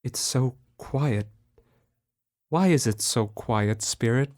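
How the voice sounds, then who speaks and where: A man's voice: medium pitch, takes it slowly, a little mellow, lightly acted. A young man playing a pleading old miser. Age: young adult. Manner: pleading, anguished. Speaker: Ebenezer Scrooge. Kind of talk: student radio drama.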